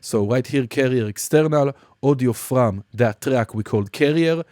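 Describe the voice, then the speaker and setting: A man teaching music production in a casual software demonstration, explaining informally and somewhat hesitantly step by step. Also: mid-range voice, normal speed. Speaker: music production tutorial instructor.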